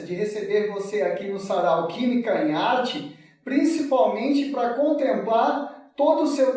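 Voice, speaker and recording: A man with a Brazilian accent hosting an interview in a formal, deliberate way, recorded with strong echo and at a distance. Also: high-pitched, a little mellow. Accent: Brazilian Portuguese. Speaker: host. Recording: very echoey, distant from the mic.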